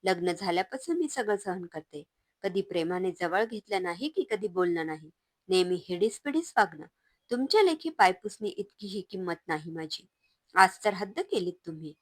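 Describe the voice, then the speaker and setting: A woman's voice: fairly low pitch, expressive, moderate pace, no room sound. Woman playing a defiant hurt wife. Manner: firm, defiant, raised voice. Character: hurt but resolute young wife and mother.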